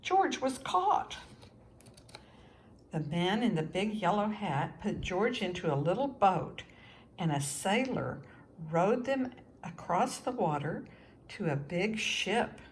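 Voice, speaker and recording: A woman reads a storybook for young children at an unhurried pace. Her pitch moves around a great deal, and she leaves deliberate pauses. There is faint noise behind her. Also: sing-song. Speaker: storybook reader. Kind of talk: scripted children's story narration, read aloud.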